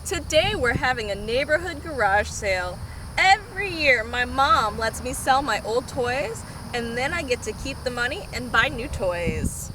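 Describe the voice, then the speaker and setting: A woman's voice: high-pitched, big pitch swings, unhurried, noisy background. A woman reading a storybook as an easygoing, disorganized schoolboy telling his own story. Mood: matter-of-fact. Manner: plain storybook read-aloud.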